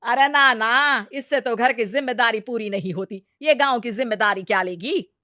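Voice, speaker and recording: Sharp-tongued Indian mother-in-law played by a woman: high, dry studio sound, melodramatic taunts for a comic moral story. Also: normal speed, dry recording. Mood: bitter complaining. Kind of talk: exaggerated comedy story acting.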